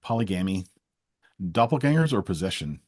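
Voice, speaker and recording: A man with a husky edge and a lively, swooping delivery. He plays interviewer, firing off quick either-or choices and teasing his guest as he goes. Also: low-pitched. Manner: playful, teasing. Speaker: interviewer, host. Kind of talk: rapid either-or quiz in casual chat.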